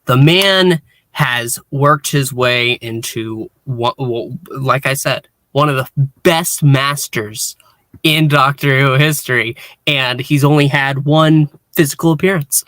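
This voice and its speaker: A man hosting a casual review discussion, speaking in a halting way with repeated false starts. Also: mid-range voice, a bit bright, no echo. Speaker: podcast host and reviewer.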